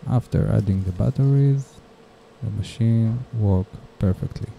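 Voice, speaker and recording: A man gives a scripted how-to narration at a very slow pace. His voice sits on the low side and has a heavy creak. He moves plainly from one step to the next. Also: mellow, slight background noise, low-quality recording. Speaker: DIY tutorial narrator. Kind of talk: scripted instructional voice-over.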